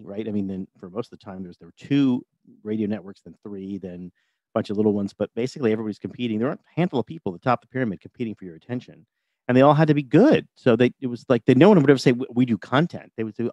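A man's voice: on the low side, darkish tone, no room sound, lo-fi sound. A man, a television writer and producer, chatting as a podcast guest in a wry, talkative way, often repeating words as he starts a thought. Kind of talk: casual interview chat.

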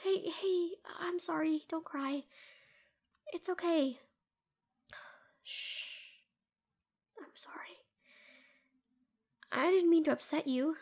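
A woman's voice: extremely high pitch, slow pace, creaky, pauses often, exaggerated voice. A woman playing a protective, tender doctor who looks after an injured ex-lover in an intimate audio roleplay, earnest in manner. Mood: tender, soothing. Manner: earnest, soothing, hushed at times, fierce when protective. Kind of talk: scripted intimate audio roleplay addressed to the listener.

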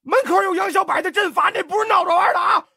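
A man voicing an excitable, wisecracking fat man in an audiobook drama, exclaiming, pleading and stammering. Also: very high voice, quick pace, gravelly, no echo, studio quality, heavily acted. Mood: alarmed, pleading. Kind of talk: dramatized audiobook character acting.

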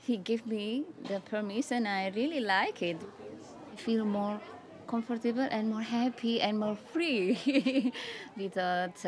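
A woman speaking slowly and carefully in an outdoor interview, laughing easily. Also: medium pitch. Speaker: camp participant.

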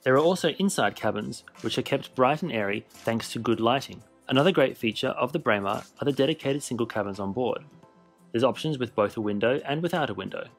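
A man narrating a scripted cruise ship tour in a measured, informative way. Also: mid-range voice, animated, faint music behind. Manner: measured, informative, list-style delivery.

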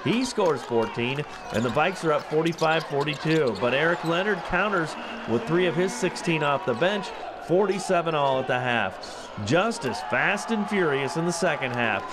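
A man narrating a scripted sports recap as a highlights commentator, with punchy, emphatic delivery. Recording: noisy gym crowd behind voice. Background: crowd and basketball bounces.

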